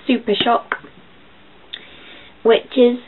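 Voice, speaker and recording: A young woman with a croaky voice, chatting casually through a makeup tutorial in her bedroom, with filler ums as she goes. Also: a little high, little pitch movement, drawn-out words. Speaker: beauty vlogger. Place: small bedroom. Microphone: built-in webcam mic. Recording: tinny lo-fi webcam audio, faint hiss.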